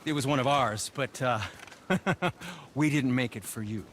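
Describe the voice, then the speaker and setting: An actor playing an awkward, polite dwarf craftsman in a video game, speaking in a nervous, halting, courteous way. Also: medium pitch, a bit bright, a little hiss or hum, close to an everyday voice. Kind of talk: dramatic video game character acting.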